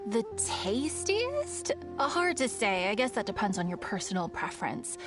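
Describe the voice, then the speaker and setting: A woman's voice: medium pitch, sing-song, a little slow. A woman voicing a polite, modest and helpful festival organiser in a video game. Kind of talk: video game character dialogue. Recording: music behind the voice.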